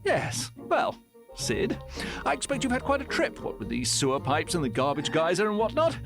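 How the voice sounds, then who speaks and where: A man voicing a posh, pampered pet rat in an animated game, prim and polite with an English accent. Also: sits high, normal speed, vocal fry, full character voice. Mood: polite, scheming. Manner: prim, polite, upper-class phrasing. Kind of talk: animated game cutscene acting. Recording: loud music behind the voice.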